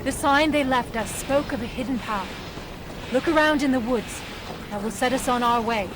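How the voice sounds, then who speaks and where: Woman playing a high-voiced, practical dwarf guide. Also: moderate pace, background noise, close to an everyday voice. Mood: practical, dry. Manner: matter-of-fact, dry. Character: practical, dry-witted female dwarf rebel guide.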